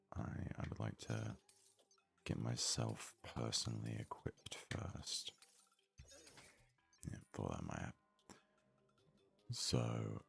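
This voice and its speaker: A man softly narrating an ASMR video game let's play, rambling and thinking aloud, close to a sensitive microphone. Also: very deep voice, gravelly, slightly rough. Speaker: gaming video host. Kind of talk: whispery soft ASMR let's play commentary. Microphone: close, highly sensitive microphone.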